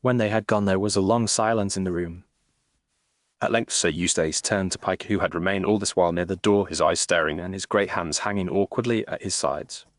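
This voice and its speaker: A man serves as narrator of a period mystery audio story. His voice is on the low side and recorded dry. The delivery is formal and measured, suited to literary prose. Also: dry recording.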